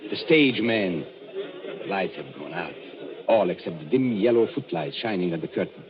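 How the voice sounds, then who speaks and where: An actor playing a suave stage magician who speaks in an urbane, theatrical, mocking manner in an old radio drama. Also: male voice, deep voice, lively intonation, moderate pace, a crowd in the background. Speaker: magician and escape artist. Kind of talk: 1940s radio drama acting. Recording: tinny, lo-fi old radio broadcast.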